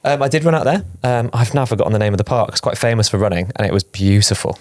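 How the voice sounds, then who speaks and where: A man's voice: fairly low pitch, lively intonation, fast talker, a little mellow, no room sound, clean studio sound. A man co-hosting a podcast in a chatty, rambling way, teasing his co-hosts. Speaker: podcast co-host. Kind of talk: casual podcast chat.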